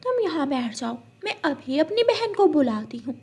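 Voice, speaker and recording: A bossy female eagle from a children's cartoon, played by a woman. She speaks very high, in a direct and insistent way. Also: sing-song, moderate pace, darkish tone.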